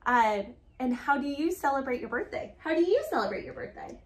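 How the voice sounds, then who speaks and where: Higher-voiced woman reading to children, echoey room. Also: brisk. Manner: animated child-directed talk with questions. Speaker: story-time host and mother reading to child. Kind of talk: picture-book read-aloud and chat for children. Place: living room, indoors. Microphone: camera a few feet away.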